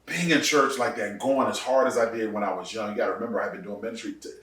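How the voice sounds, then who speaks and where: A man in his forties, a pastor, telling his testimony in a casual podcast interview, laughing often and jumping up in pitch for punchlines. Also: very fast, far from the mic. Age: 40s. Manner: animated storyteller, laughs often, rises sharply for punchlines. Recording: echoey room, voice off-mic.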